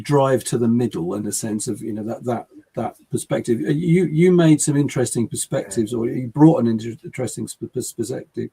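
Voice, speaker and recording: A man hosts the conversation in a mellow, husky voice. He picks his way through his thoughts haltingly, with fillers and self-corrections along the way. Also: mid-range voice. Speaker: host. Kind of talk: podcast hosting.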